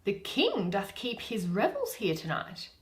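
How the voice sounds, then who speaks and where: A mischievous, boastful sprite acted out by a woman declaims verse with bold emphasis. The pitch leaps about and the words are slowly savoured, as in a stage comedy. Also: wildly swooping pitch. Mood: warning, relishing.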